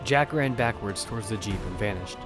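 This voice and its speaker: A man's voice: lowish voice, brisk, fairly bright tone, soft background music. A man narrating a first-person action drama as its protagonist, in an earnest storytelling manner.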